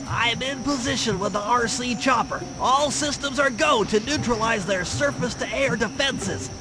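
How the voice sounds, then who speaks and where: An actor playing a fussy, confident, brainy turtle tactician who gives precise, technical briefings in a cartoon video game. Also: male voice, extremely high pitch, moderate pace, noisy background. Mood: focused planning. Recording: game music behind voice. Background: game music.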